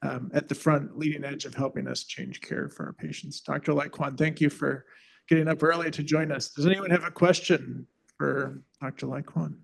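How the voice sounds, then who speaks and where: A man's voice: on the high side, animated, normal speed, creaky. A man moderating a medical conference session, thanking the speaker and taking questions, earnest in tone.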